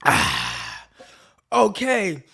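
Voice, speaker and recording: A man with a naturally raspy, dry voice hosting a casual live sports talk stream, chatting straight to his viewers in relaxed slang. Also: sits high, extremely slow. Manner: laid-back slangy chat addressing viewers directly. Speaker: podcast host. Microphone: desk mic with earbuds in.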